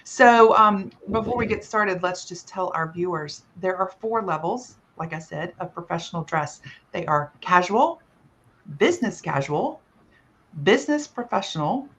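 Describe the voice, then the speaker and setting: A middle-aged woman hosting a live online panel as a coach, speaking in a friendly, measured way with some ums, over a video call. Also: lowish voice, animated, a bit bright, rough recording. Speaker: host and coach.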